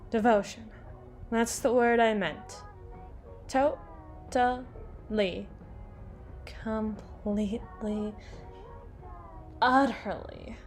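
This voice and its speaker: A woman's voice: on the high side, darkish tone. A woman performing an ASMR roleplay as a drunken, teasing, domineering female boss, rambling and stumbling over her words. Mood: teasing, smug.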